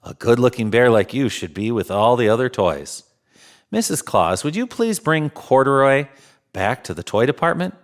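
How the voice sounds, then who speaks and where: A man's voice: medium pitch, animated, normal speed, natural voice. A man playing a jolly, kindly old Santa Claus who speaks courteously in a children's storybook.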